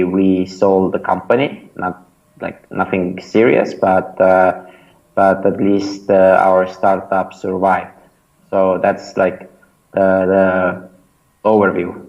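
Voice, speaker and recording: A man's voice: low voice, vocal fry, a little mellow, lo-fi sound. A man in his thirties with an Estonian accent, whose first language is Estonian. He answers an interview casually and hesitantly, with many fillers and restarts. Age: 30s. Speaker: startup founder and mentor, interview guest.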